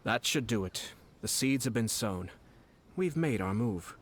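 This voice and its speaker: A man voicing a calm, scheming young noble in a video game, smooth and quietly confident. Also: medium pitch, measured pace, slight background noise, lightly acted. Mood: composed. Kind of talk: game voice acting.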